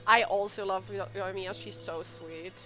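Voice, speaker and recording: A woman's voice: medium pitch, fairly even intonation, normal speed, fairly bright tone. A woman streaming a game, chatting casually and reacting in an informal, emotive way. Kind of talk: casual chat and reactions during gameplay. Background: game music and dialogue.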